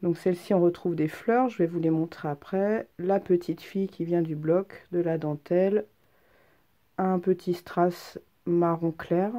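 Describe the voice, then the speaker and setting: A woman craft vlogger with a stuffy head cold talks casually through her handmade work. Her voice sits a little low and sounds mellow and soft-edged, and she pauses often with hesitant ums. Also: steady tone. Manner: chatty, hesitant, many ums. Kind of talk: casual craft show-and-tell.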